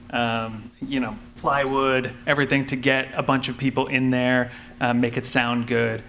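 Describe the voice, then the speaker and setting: Man, bright forceful voice, panel anecdote, faint background noise. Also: mid-range voice. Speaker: panelist, audio drama producer. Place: convention panel room. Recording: faintly noisy convention room panel.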